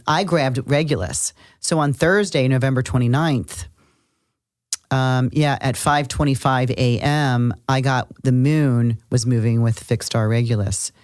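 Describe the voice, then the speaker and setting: A woman's voice: rumbling low, darkish tone, dry recording, studio quality. A woman co-hosting an astrology podcast, chatting casually and emphatically in short bursts. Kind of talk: casual conversational astrology talk.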